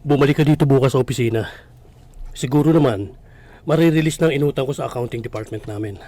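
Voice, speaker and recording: A man's voice: medium pitch, fast, mellow, lightly acted. An actor playing a burdened male relative who helps reluctantly, in a radio drama.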